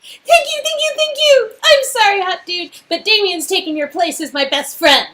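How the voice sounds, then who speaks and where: A woman voicing an excitable, affectionate young woman in a game dialogue reading, with a gushing, bubbly manner. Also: very high voice, normal speed, pitched above the performer's own voice.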